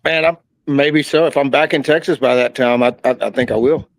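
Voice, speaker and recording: A man's voice: mid-range voice, steady tone, fairly quick. A man in a casual livestream chat, teasing the others and laughing easily.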